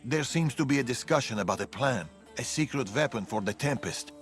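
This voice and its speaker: Man playing guarded old-soldier male assassin, measured, serious, mid-pitched, video game drama, faint music. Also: animated, natural voice. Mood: grave, instructing. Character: guarded, weathered Persian veteran assassin. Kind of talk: video game cutscene acting.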